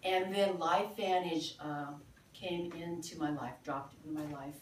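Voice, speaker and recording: An older woman speaks earnestly to a small meeting, her lowish voice stopping often for ums and uhs. She sounds far from the mic in an echoey room with a faint hum of ambience behind her. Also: steady tone. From Philippines. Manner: halting, many fillers, earnest. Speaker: presenter at a sales meeting. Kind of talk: informal presentation.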